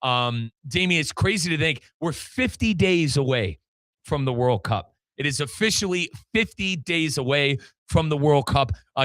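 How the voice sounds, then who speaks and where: An American man hosting a sports radio show with energetic, announcer-style delivery. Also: on the high side, no echo. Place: radio studio.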